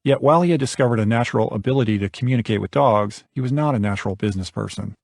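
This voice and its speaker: A man's voice: mid-range voice, racing, gravelly, a little mellow, no echo, clean studio sound. A man narrating a nonfiction audiobook from a script.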